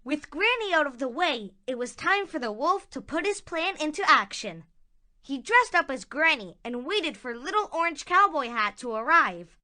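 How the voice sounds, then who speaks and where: A woman's voice: high voice, very animated, normal speed, pushed and bright. A woman narrating a scripted children's story in a dramatic storytelling manner.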